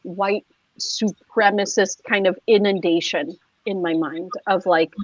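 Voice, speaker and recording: A woman's voice: medium pitch, steady tone, a little slow, no echo. Chatty woman, muffled dry audio. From United States. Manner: chatty, full of "like" fillers and restarts. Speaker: guest, clinical social worker. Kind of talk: casual conversational interview.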